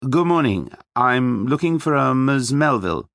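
A man's voice: medium pitch, expressive, measured pace, creaky, fairly bright tone, dry recording, pitched above the performer's own voice. A male narrator plays an ageing investigator, polite and probing yet a little unsure. His intonation moves widely, and the audiobook sound is close and dry. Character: elderly, furtive, hesitant male investigator.

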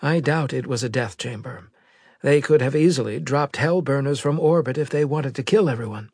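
A man voicing an analytical male psychologist on a military staff, measured and speculative, in an audiobook. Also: mid-range voice, darkish tone, breathy edge, no room sound, studio quality, voice pushed up. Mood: thoughtful.

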